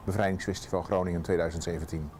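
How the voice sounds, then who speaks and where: Middle-aged Dutch-accented man, deep and hesitant, outdoor park interview. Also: fairly even intonation, a little mellow, a little hiss or hum. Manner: halting, many uh fillers. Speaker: festival manager.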